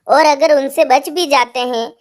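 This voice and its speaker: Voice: high-pitched, steady tone, unhurried. A performer voicing a young male calf in a cartoon fable, plaintive and emphatic. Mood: grave, urging. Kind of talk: cartoon animal fable dialogue.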